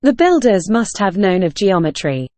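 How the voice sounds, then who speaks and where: Mellow woman narrator with lively intonation. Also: mid-range voice, normal speed, dry recording. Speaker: narrator of read-aloud practice passages. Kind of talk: scripted read-aloud narration.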